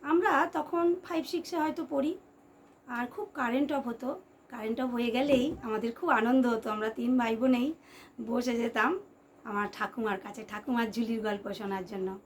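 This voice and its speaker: High-voiced woman storyteller. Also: normal speed, slight background noise. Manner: expressive, addresses listeners as friends, repeats phrases for suspense. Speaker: storyteller, channel host. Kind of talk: dramatic oral ghost storytelling to listeners. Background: background music.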